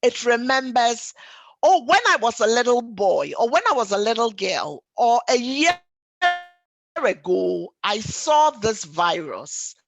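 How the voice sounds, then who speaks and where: Slow, animated woman giving a health lecture. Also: on the high side, gravelly, no room sound, poor audio. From Ghana or the Caribbean. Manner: didactic, emphatic, repeats key points, asks rhetorical questions. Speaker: doctor giving a health webinar. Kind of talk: explanatory lay health lecture.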